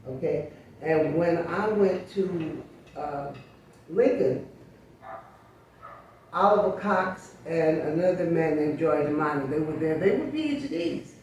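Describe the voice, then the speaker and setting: An older woman in her seventies or beyond, speaking as an interview guest in a reflective, emphatic and deliberate storytelling way. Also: low-pitched, lively intonation, a little mellow. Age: 70s or older. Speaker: interview guest, sociologist and teacher. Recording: very echoey room, distant mic, faint noise.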